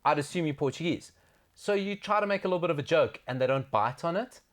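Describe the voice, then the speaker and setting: A man co-hosting a sports talk show, telling stories in a lively, jokey way. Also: a little high, quick pace. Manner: lively, jokey, does silly voices. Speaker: co-host. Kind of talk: casual studio banter.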